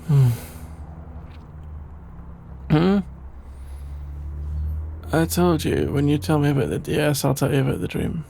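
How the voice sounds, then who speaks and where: A man's voice: fairly high pitch, vocal fry, a little mellow, slight background noise, full character voice. A young man playing a shy, sleepy, easily embarrassed best friend in an intimate ASMR roleplay, speaking hesitantly and trailing off. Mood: deadpan, resigned. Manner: hesitant, halting, trailing off with sighs and nervous chuckles. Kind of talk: intimate ASMR audio roleplay, improvised-sounding dialogue.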